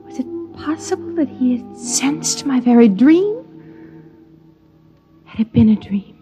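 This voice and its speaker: An actress playing a lonely, yearning wife in a 1950s radio drama, speaking in hushed tones. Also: female voice, sits high, lively intonation, unhurried. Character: lonely wife in a fallout shelter. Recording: dull old radio broadcast with music.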